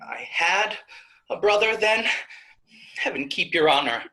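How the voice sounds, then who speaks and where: Actress as young Shakespearean heroine: pleading, righteous, rhetorical, highly animated pitch, forceful edge, measured pace. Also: female voice, poor audio. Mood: hesitant pleading. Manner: impassioned, rhetorical verse delivery. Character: pleading young maiden Isabella, passionate and righteous. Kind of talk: Shakespeare staged reading.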